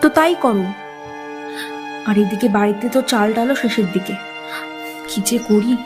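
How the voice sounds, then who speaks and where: High-pitched village wife played by a woman. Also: unhurried, natural voice. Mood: nagging, worried. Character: nagging, worried wife of a poor village farmer. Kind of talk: cartoon character dialogue. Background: loud music.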